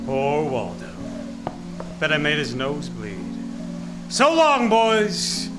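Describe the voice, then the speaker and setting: A taunting radio-play gunman. His high voice swoops wildly and draws out each mocking threat slowly, over prominent music. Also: wildly swooping pitch, heavily acted. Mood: menacing, gloating. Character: brazen, taunting male gunman in a bar. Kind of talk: old-time radio noir acting.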